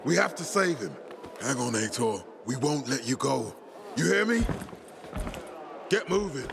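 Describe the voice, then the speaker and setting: An actor playing an intense, commanding military leader in a video game, with a gravelly, urgent delivery. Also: male voice, sits high, sing-song, a little slow, noisy background, heavily acted. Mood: urgent grief. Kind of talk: dramatic video game dialogue.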